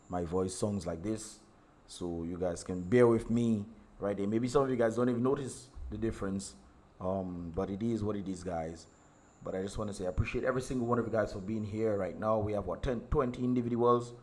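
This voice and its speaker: A hoarse man, chatting casually to his livestream viewers in a rambling way full of ums and repeated words. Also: lowish voice, darkish tone. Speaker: livestream host and singer.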